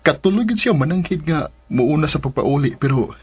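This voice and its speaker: An actor playing a hopeful, lovestruck adult son in a Filipino radio drama, eager, with a Filipino accent. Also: male voice, on the high side, animated, normal speed, darkish tone, breathy edge, no echo. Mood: happy, hopeful.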